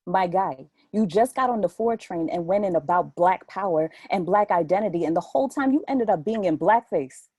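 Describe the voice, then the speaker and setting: A woman's voice: on the low side, lively intonation, moderate pace, hardly any pauses, dark tone, husky, no echo, studio quality. A woman playing a sassy, scheming young woman in a play reading, taunting and mock-sweet. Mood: taunting, smug. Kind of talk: readers theatre play reading.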